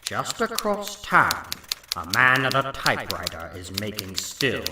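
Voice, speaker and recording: A man's voice: medium pitch, huge pitch swings, a little slow, distant mic. A man playing a portentous comic-book narrator, delivering scripted drama. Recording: roomy echo with faint noise.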